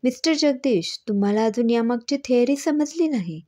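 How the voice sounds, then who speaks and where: A woman's voice: on the high side, animated, moderate pace, mellow, voice pushed up, close to an everyday voice. A woman storyteller voicing a clever, teasing woman doctor who explains things patiently and with assurance. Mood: explaining.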